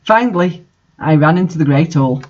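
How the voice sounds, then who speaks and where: A woman's voice: sits low, lively intonation, moderate pace, darkish tone. A woman giving a dramatic, mocking amateur reading of fan fiction, with her own commentary. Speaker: reader. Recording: dull lo-fi sound.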